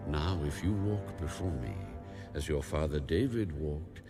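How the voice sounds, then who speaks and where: A man playing God in a dramatized Bible reading, solemn and commanding, speaking in a grave, measured way. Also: a bass voice. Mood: solemn promise. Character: God, solemn commanding male deity giving a warning. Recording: music under the voice. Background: music.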